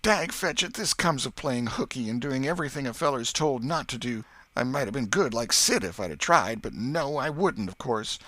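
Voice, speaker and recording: A man voicing an excitable, superstitious, self-pitying schoolboy in a rural Southern American dialect for an audiobook. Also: mid-range voice, moderate pace, no room sound, voice pushed up. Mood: wailing remorse. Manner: folksy dialect, exclaiming and whispering. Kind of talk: audiobook character acting.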